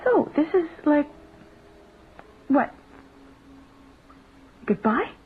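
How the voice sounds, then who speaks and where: Slow, scornful spurned young woman. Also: high-pitched, very animated, soft background music, full character voice. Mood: bitter, scornful. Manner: sardonic, blunt. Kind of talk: naturalistic radio drama. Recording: tinny, band-limited radio recording.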